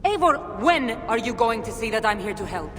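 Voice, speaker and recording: An actress playing a confident, knowing adventurer in a video game cutscene, with a Greek accent and an earnest, expressive delivery. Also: female voice, a little high, normal speed, a little hiss or hum. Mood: defensive. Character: confident, knowing adult woman adventurer hunting ancient artifacts. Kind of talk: dramatic game cutscene acting. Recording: game audio with music behind.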